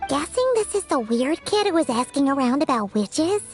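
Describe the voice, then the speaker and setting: A woman voicing a tiny, excitable, cheeky childlike fairy companion in a video game, with a squeaky, blunt, chatty delivery. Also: moderate pace, faint music behind. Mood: skeptical. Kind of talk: animated video game acting.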